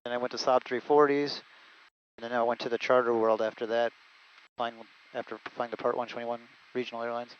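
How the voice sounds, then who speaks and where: Man, pilot, rapid casual talk, middle pitch, dull headset audio, jet cockpit. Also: flat delivery. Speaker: pilot, guest co-pilot. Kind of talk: casual chat, recounting flying career. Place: small jet cockpit in flight. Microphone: aviation headset.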